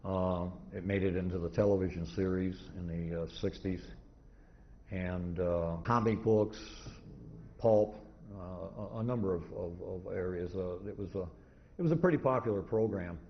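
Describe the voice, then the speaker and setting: A low-pitched man presents an informal history lecture in a live room. He rambles and stops and starts, restarting his sentences. The recording is slightly noisy and dull, with the top end missing. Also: moderate pace. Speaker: convention presenter, radio historian. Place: indoor convention talk room.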